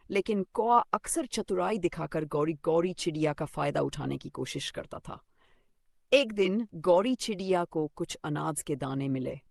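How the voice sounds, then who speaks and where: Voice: mid-range voice, creaky, a little mellow, no echo, studio quality. A narrator reading a scripted children's story.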